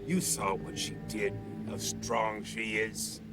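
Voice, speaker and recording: A man voicing a gruff, lecherous demon hunter cursed into a wooden dummy, a world-weary wisecracker in a TV drama. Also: fairly low pitch, little pitch movement. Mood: grim, menacing. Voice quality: gravelly. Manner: world-weary wisecracking tough guy, drawn-out words. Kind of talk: television drama acting. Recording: TV soundtrack with prominent music. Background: music.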